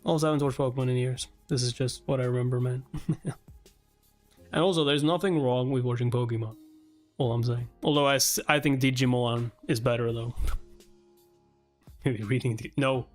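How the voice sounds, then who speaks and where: A man's voice: mid-range voice, steady tone, moderate pace, pauses often. A man livestreaming a read-along, reacting casually, chatting with viewers and swearing offhandedly. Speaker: livestreamer reading a webtoon aloud and reacting. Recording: music playing behind the voice. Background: background music.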